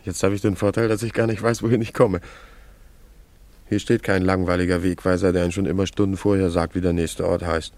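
A man's voice: sits low, flat delivery, brisk, darkish tone, no echo. An actor playing a carefree, teasing young wandering man in a German radio play, in an easygoing, bantering way. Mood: musing, carefree.

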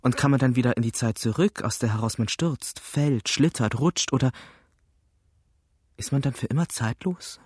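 An actor as a questioning man in a poetic radio play. His voice is husky and mid-pitched, searching from word to word. The recording is dry and close. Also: moderate pace, darkish tone, no echo, close to an everyday voice. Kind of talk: poetic radio drama recitation.

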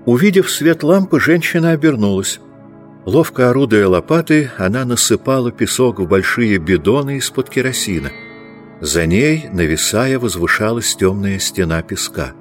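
A man's voice: medium pitch, animated, normal speed, soft background music. A man reading scripted literary narration as the narrator of an audiobook.

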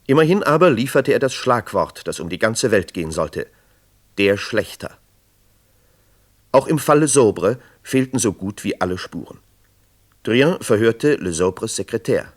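A man's voice: fairly low pitch, very animated, measured pace. A man narrating a scripted true crime radio drama in a sober manner. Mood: sober, suspenseful.

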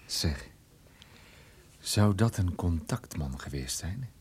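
An actor playing a wry, musing private detective in a radio play, speaking in a hesitant, ironic, conversational way. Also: male voice, sits low, expressive, slow, background noise. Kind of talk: radio drama dialogue.

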